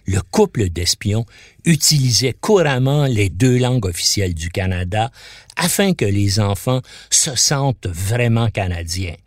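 A man's voice: lowish voice, dry recording, studio quality. A man narrating a scripted documentary as a podcast host, in a dramatic storytelling manner.

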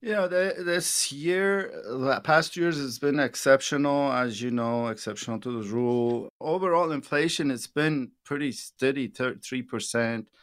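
A man's voice: mid-range voice, measured pace, few pauses, dry recording. A man in his sixties, a financial advisor hosting a radio show, chatting casually with plenty of fillers and repeated words. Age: 60s.

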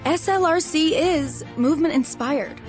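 A woman with an American accent and a high voice reads a scripted advertisement. Music plays clearly behind her as she announces. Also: a little slow, a little mellow. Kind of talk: scripted sponsor read.

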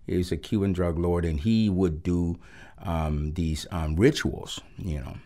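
A man from Rico, a Spanish speaker, giving a halting, reflective personal testimony as a guest pastor on a radio show. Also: low-pitched, warm tone. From Puerto Rico. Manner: halting and reflective, with frequent pauses.

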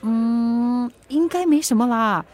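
An actress with a Singaporean accent playing a flustered, defensive, forgetful mother in a naturalistic radio drama, with expressive colloquial protests. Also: fairly high pitch, slow pace. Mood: downplaying worry.

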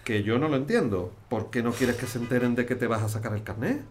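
Actor as lively Andalusian driving instructor. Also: male voice, mid-range voice, quick pace, slight background noise, close to an everyday voice. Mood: reassuring. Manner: reassuring, colloquial. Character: José María, encouraging male driving instructor and neighbour. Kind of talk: naturalistic audio drama dialogue.

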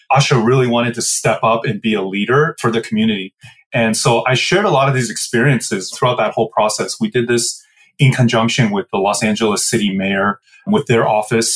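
Man, 40s, Midwest-raised guest; reflective, filler-heavy storytelling. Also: medium pitch. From Midwestern United States. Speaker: guest, business co-founder. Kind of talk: casual interview answers.

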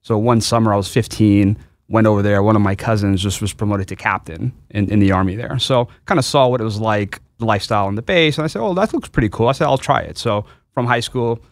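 A man tells his story quickly in a relaxed, conversational way. His voice sits a bit low. The recording is dry and close. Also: no room sound. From New York City. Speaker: guest, veteran and company co-founder. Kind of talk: radio interview answers.

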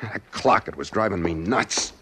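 A man's voice: sits low, lively intonation, moderate pace, very noisy. A man playing a desperate small-time loser on the run in 1940s radio acting, anguished and pleading, on an old radio recording. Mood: brooding. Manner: anguished, pleading, stammering under pressure. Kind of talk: 1940s radio acting, first-person noir narration.

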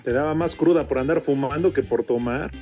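A man's voice: medium pitch, brisk, mellow, lo-fi sound, faint music behind. A man with a Mexican accent co-hosting a radio show, chatting casually and teasing. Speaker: sports radio co-host. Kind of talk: joking casual chat.

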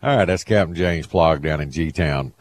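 Deep-voiced laid-back radio host. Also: male voice, moderate pace, no echo. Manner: laid-back, folksy, laughs easily. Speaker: radio fishing show host. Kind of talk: casual radio chat and read ads.

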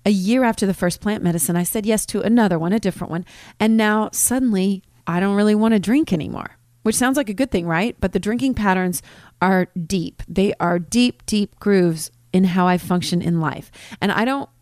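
A woman's voice: medium pitch, moderate pace, darkish tone, dry recording, clean studio sound. A woman in her forties hosting a podcast, talking candidly and confessionally in a personal monologue. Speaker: podcast host.

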